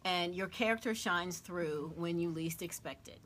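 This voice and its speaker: Woman host, bright voice, chatty and laughing, faint background hiss. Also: fairly low pitch, moderate pace. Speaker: podcast host. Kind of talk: casual interview chat.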